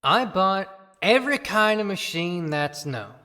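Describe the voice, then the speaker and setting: A woman performs a dead farmer in an audio drama, rueful about a wasted life. The delivery is slow and reflective. A long reverb surrounds the voice. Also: mid-range voice, sing-song, bright, forceful tone. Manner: deliberate, reflective. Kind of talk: dramatic monologue in an audio play. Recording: long echoing hall reverb.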